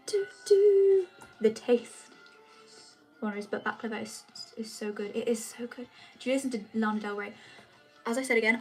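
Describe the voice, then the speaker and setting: A young woman chatting casually with her viewers on a live stream, rambling with lots of fillers. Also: a little high. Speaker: vlogger answering chat.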